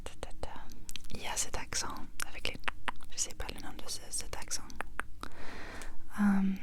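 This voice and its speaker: A woman whispering ASMR-style pronunciation practice in French, a language not her first, slowly repeating words with hesitation and doubt. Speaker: ASMR creator.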